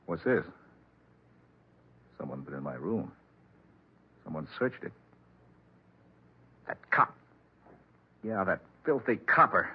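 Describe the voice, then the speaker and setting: A man's voice: low voice, breathy edge, full character voice. An actor playing a guilty, panicky man on the run in a 1940s radio horror drama, muttering to himself and pleading frantically. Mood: nervous, agitated. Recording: noisy, dull 1940s radio recording.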